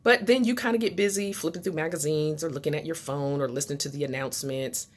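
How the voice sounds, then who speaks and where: A woman speaking as a motivational host to her viewers, in a deliberate, instructive way that stresses key words. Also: low voice. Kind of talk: motivational talk to camera.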